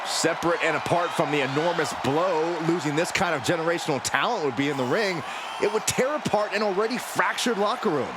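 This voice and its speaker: A man giving excited, scripted-sounding wrestling commentary as a match announcer. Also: on the high side, brisk. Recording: very loud crowd and arena noise. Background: roaring crowd.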